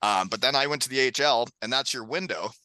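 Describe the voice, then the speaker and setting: Bright-voiced chatty male host. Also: medium pitch, fast talker, no room sound. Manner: chatty storyteller, laughs often. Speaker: podcast host, hockey coach. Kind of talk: casual chat.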